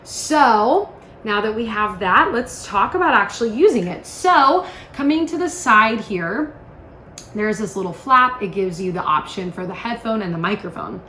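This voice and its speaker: In a roomy, echoing space with faint noise behind her, a woman demonstrates a product. She speaks casually and informally at a middle pitch, walking the listener through each step like a chatty instructor. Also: animated, moderate pace, sharp tone.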